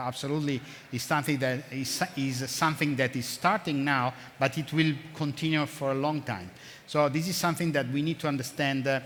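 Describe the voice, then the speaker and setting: Halting man in a big hall. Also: medium pitch, fairly bright tone. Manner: halting, many fillers and restarts. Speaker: conference speaker. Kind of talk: conference talk. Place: large conference hall. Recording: long hall reverb, faint noise.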